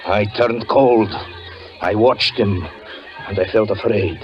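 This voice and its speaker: An actor playing a haunted, doom-laden fortune teller, narrating gravely and haltingly in 1940s radio drama style. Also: male voice, lowish voice. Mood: dread. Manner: grave, halting, melodramatic delivery. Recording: muffled 1940s radio recording with music.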